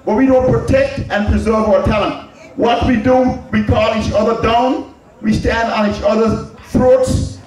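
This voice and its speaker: A man with an Anguillian accent giving a public campaign speech as a political candidate, emphatic, repeating key phrases and answering his own rhetorical questions. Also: high voice. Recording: very echoey, from far off.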